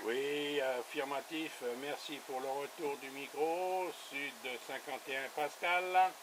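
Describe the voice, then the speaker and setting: A man's voice: on the high side, fairly even intonation, slow pace, background noise. A man chatting casually as an amateur radio operator, in a colloquial, easygoing way. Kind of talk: casual radio contact chat. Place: radio station with a camera running.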